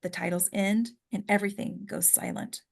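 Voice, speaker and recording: Woman reading horror screenplay directions, mid-pitched. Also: fairly even intonation, moderate pace, vocal fry. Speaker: stage-direction reader at a table read. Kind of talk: dramatic scripted narration.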